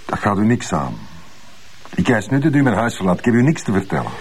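An actor playing a defensive, evasive husband under police questioning in a TV crime drama, halting and guarded. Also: male voice, on the low side, big pitch swings. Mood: indignant. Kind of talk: naturalistic TV crime drama acting. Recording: clear background noise.

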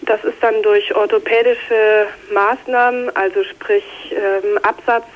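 A woman of about thirty calling a phone-in with a slight cold, explaining her story carefully in a measured way. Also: medium pitch, level tone. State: has a cold, slightly scratchy voice. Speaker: phone-in caller. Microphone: telephone. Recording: tinny narrow phone line.

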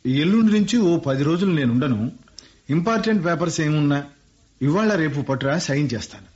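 An actor playing a middle-aged industrialist, nostalgic and playful, who gives orders easily. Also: male voice, on the high side, expressive, quick pace, darkish tone, natural voice. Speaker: lead character. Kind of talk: radio play acting. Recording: muffled radio play with faint music.

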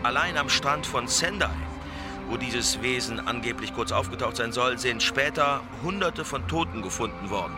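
A man's voice: mid-range voice, expressive, normal speed, loud music behind the voice, lightly acted. An actor playing a male news correspondent giving a sober, formal, factual live report in a radio play. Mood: sober, grave. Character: male German TV correspondent reporting live from disaster-struck Tokyo.

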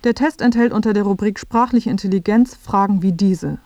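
A woman plays a female radio presenter narrating a satirical report. Her voice sits at a middle pitch. The delivery is scripted, dry and matter-of-fact, in a very dry studio sound. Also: a little fast, a little mellow, dry recording, lightly acted.

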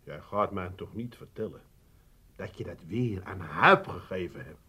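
An actor playing an excitable, easily downhearted middle-aged family man with an Amsterdam accent, plaintive and dramatic in a comic radio play. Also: sits low, measured pace, breathy edge, heavily acted. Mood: dismayed.

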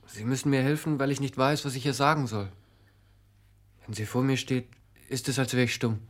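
A man's voice: mid-range voice, fairly even intonation, a little fast, close to an everyday voice. An actor playing a naive, lovesick young village postman in a German radio play, speaking earnestly and pleadingly. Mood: shy, earnest. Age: young adult.

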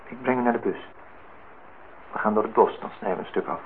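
A man's voice: lowish voice, brisk, natural voice. An actor playing an earnest, wistful man in his thirties in a radio drama, speaking formally and reflectively. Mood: tender, subdued. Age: 30s. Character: earnest, wistful 32-year-old man in love, self-reproaching. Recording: thin phone-like sound, noisy old radio recording.

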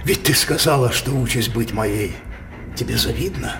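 An actor playing a brooding older king obsessed with his daughter, declaiming theatrical verse in a halting, impassioned manner for a radio play. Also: mid-range voice, animated, background noise, lightly acted. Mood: insinuating, persuasive.

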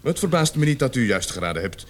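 Gruff authoritative cop sarge played by a male actor, radio play, pitch swings a lot, emphatic. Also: big pitch swings, quick pace, lightly acted. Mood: formal, emphatic. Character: gruff, authoritative male police sergeant leading a murder investigation. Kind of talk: Dutch radio play acting.

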